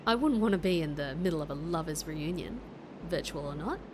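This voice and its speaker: A woman's voice: low voice, expressive, a little fast, background noise. A woman playing a breezy, friendly Australian secret agent in an audio drama, chummy and slangy, with a playful manner. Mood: cheerful. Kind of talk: audio drama acting with narration.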